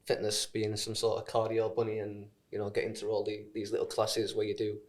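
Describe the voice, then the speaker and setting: A man's voice: lowish voice, monotone, brisk. A man hosting a podcast in casual interview chat, with a teasing, bantering manner. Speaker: podcast host.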